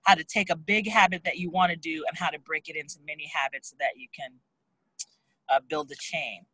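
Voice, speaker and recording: A woman co-hosting an online group discussion, explaining in quick bursts and encouraging questions. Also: low-pitched, fairly even intonation, fairly bright tone, breathy edge, poor audio. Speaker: co-host of a discussion salon. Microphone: online video call.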